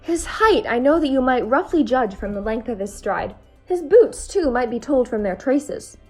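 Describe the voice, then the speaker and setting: Earnest, inquisitive companion-narrator played by a woman, highish voice, literary audio drama delivery, faint music underneath. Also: lively intonation, normal speed, darkish tone. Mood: curious, admiring. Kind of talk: amateur audio drama acting and scripted narration.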